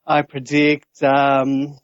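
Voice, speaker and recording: A man's voice: medium pitch, monotone, drawn-out words, dry recording. An Australian man calling in to a radio show over the internet, chatting casually, politely and a little hesitantly. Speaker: call-in show caller. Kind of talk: casual live chat with a radio host. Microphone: internet voice call. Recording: muffled internet call on radio.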